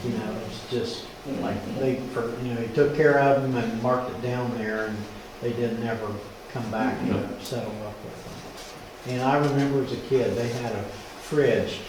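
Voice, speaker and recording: A man reminisces casually from the audience of a small meeting hall. The room echoes strongly around his voice, and there is clear background noise. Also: mid-range voice, fairly even intonation, moderate pace. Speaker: audience member sharing memories.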